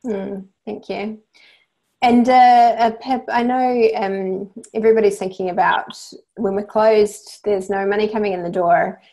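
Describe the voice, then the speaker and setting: A woman with a New Zealand accent moderates an online panel, her voice carrying a noticeable gravelly fry. She keeps her delivery even and level, calmly thanking speakers and reading out questions. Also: mid-range voice, a little slow. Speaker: webinar host. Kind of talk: moderating a webinar.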